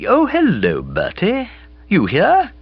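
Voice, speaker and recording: A man reading a storybook, voicing a lively, fun-loving young upper-class woman who talks in a breezy, self-assured way. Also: sits high, slow, slightly rough, dry recording, dull audio, pitched above the performer's own voice, heavily acted.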